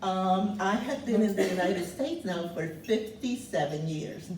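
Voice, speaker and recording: A woman in her seventies from Cuba, speaking loudly and earnestly as she tells her life story, pausing on many fillers. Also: medium pitch, animated, slight background noise. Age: 70s. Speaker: guest speaker sharing her refugee story. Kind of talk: personal testimony talk. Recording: roomy, distant mic, faint ambience.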